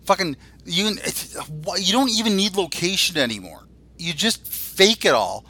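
Blunt, bright, higher-voiced man in his forties. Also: big pitch swings, normal speed. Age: 40s. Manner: blunt, profane, irreverent. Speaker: podcast co-host. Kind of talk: casual ranting chat.

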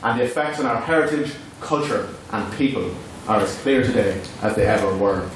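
Irish-speaking guy giving a debate speech, big booming hall echo, mic far off, hissy. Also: fairly quick. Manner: declamatory, rhetorical. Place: large echoey debating hall. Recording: echoey hall, distant mic, noisy.

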